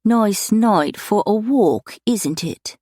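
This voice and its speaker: A woman's voice: mid-range voice, animated, slow, dark tone, dry recording, studio quality, voice pushed up. Woman voicing a plummy London thug. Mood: affable. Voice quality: thick, plummy. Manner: musing, then impatient and threatening. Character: affable menacing male London thug, vain.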